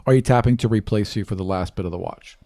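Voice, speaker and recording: A man's voice: on the low side, quick pace, no room sound, clean studio sound. A man narrating casually as game master of a tabletop game, playful and given to acting out little bits. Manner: animated, playful, acts out sounds and bits.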